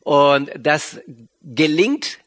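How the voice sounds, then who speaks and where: A middle-aged male pastor from Argentina addresses a congregation with an Argentine accent, Spanish being his first language. His voice sits a little higher than most men's. He speaks haltingly, often searching for the next word. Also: lively intonation. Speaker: visiting pastor and regional church leader. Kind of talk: sermon introduction to a congregation.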